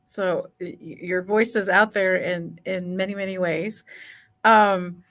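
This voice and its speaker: A woman's voice: on the low side, steady tone, a bit bright. A woman hosting a podcast interview, chatting casually and restarting her phrases often. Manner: friendly, chatty, halting restarts. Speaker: podcast host.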